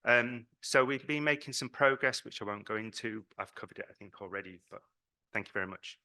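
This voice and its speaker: A man's voice: mid-range voice, fairly quick, sharp tone. A man from Britain with a British accent, presenting at a conference in an earnest, emphatic way as an advocate. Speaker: patient advocacy representative speaking at a conference. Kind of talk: conference presentation, semi-spontaneous.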